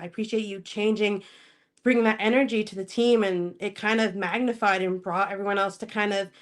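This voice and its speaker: A woman from Spokane, Washington, speaking as a podcast guest in casual interview chat, laughing often and pausing to search for words. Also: medium pitch.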